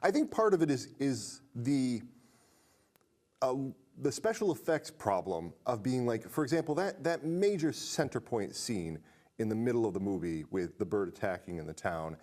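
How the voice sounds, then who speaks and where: A man hosting a casual movie review podcast, talking conversationally and reading aloud with animated emphasis. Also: medium pitch, moderate pace. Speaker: podcast host.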